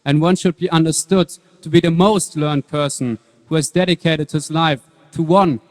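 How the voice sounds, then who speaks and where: A man's voice: on the high side, steady tone, moderate pace, natural voice. A man playing a devout, serene young boy prince, reciting earnestly in a dramatic reading. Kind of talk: dramatic scripture reading on stage. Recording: echoey hall with long reverb.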